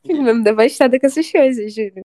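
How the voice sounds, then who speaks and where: A woman's voice: a little high, quick pace, no echo, clean studio sound. A young woman from Rio de Janeiro with a Carioca accent, a podcast guest telling stories dramatically. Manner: animated, dramatic storytelling. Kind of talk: casual chat.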